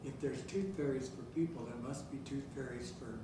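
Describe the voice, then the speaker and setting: A man in an audience making a casual, rambling comment, halting as he searches for words. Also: mid-range voice. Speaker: audience member. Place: public room at a library event. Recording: very echoey noisy room, far from mic.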